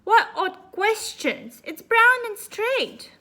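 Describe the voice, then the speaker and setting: A woman's voice: very high voice, very animated, takes it slowly, pushed and bright, pitched above the performer's own voice, full character voice. A woman voicing a polite, curious and forthright little girl from a storybook. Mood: indignant.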